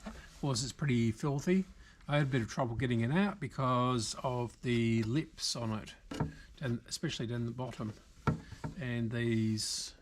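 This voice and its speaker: An Australian man giving casual, unscripted hands-on repair commentary, halting now and then as he thinks aloud. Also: medium pitch, noisy background. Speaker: video host, radio repairer. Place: indoor workshop.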